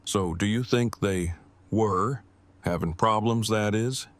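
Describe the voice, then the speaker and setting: An actor playing an awkward, earnest man who speaks in a formal, wordy, deliberate way in a fan audio drama. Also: on the low side, no room sound. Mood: earnest, curious.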